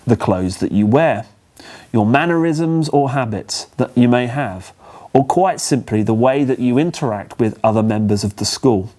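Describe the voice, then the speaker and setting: Man, medium pitch, for scripted instructional narration; measured, clear phrasing, teacherly delivery. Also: mellow. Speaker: training film presenter.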